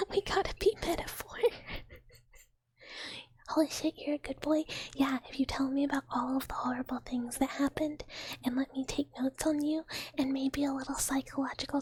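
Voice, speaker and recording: A woman streamer whispering casual, giggly, rambling chat to her viewers. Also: high voice. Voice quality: whispering, very breathy. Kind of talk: whispered ASMR chat while reading viewer comments.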